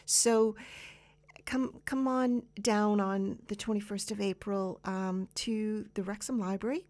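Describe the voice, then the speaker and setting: A woman with a Canadian accent hosting a radio show, giving chatty, unscripted announcements in an informal, halting way. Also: mid-range voice, steady tone, a little mellow, dry recording.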